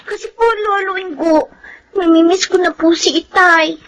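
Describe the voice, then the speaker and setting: Casting: pleading little girl, very high voice, Filipino accent, wistful, radio drama. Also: fairly even intonation, normal speed, fairly bright tone. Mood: excited, longing. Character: young girl longing for her father, hopeful, pleading. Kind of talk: Tagalog radio drama acting.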